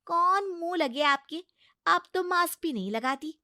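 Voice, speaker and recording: Woman playing a high, sarcastic young daughter-in-law. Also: expressive, a little slow, sharp tone, no echo, clean studio sound, exaggerated voice. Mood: exasperated. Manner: pleading, exasperated, teasing retorts. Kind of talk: comic Hindi cartoon story acting.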